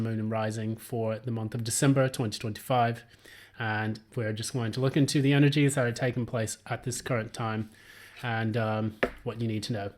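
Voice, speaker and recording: A man gives a relaxed solo tarot reading in a middle-range voice. His voice is still a little hoarse as it recovers, and he rambles along with plenty of ums and restarts. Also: mid-range voice, slight background noise. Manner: rambling, many filler words and restarts. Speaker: tarot reader.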